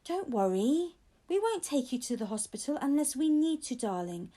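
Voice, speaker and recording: Kindly storybook paramedic woman voiced by a female reader; gentle, caring reassurance in a high, lilting register. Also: sing-song, normal speed. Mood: soothing.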